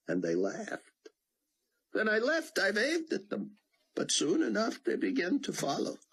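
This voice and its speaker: A man's voice: high voice, gravelly, husky, voice pushed up, exaggerated voice, poor audio. A man voicing an older male rabbi, giddy and half-breathless with laughter.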